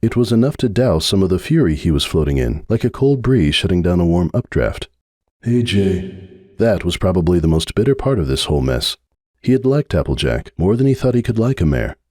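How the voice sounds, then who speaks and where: A man narrates a dramatic fan-story reading in a very low, mellow voice. His pacing is measured and brooding. The recording is dry and close, with no room echo. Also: very animated. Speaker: audiobook narrator.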